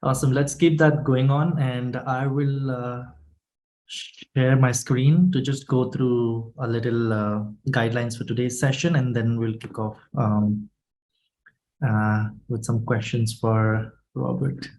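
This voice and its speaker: Man hosting an online workshop, informal and halting. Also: mid-range voice, steady tone, a little mellow. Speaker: host and moderator of an online workshop. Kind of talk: welcoming attendees and explaining session guidelines. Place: online video meeting.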